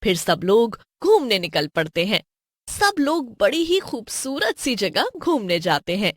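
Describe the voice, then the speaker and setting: Slow, expressive woman storyteller. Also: fairly high pitch, no echo, studio quality. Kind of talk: scripted horror story narration.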